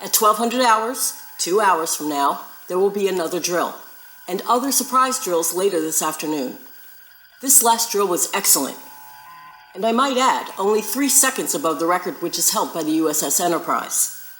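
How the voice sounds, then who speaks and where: A woman playing a confident, good-humoured starship captain in a science fiction audio drama, brisk and commanding. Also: lowish voice, lively intonation, a bit bright, slight background noise, poor audio. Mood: formal, authoritative.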